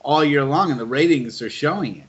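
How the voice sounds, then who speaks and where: A man's voice: on the high side, lively intonation, fairly quick, a bit bright. Man hosting casually, dull muffled audio. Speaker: podcast host, interviewer. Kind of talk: casual chat interview.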